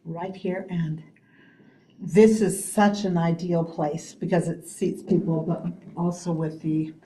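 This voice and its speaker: A woman giving a loud, chatty update on senior events at a city committee meeting. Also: on the low side, steady tone, slow, echoey room, slight background noise, rough recording. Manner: loud, chatty, laughs often. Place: city hall meeting room.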